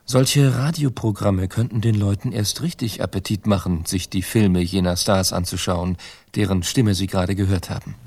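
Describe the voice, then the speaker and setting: A man voicing a quoted 1920s film studio boss for a radio documentary, in a gravelly, husky voice. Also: low-pitched, steady tone, moderate pace, a little mellow. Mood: matter-of-fact, persuasive.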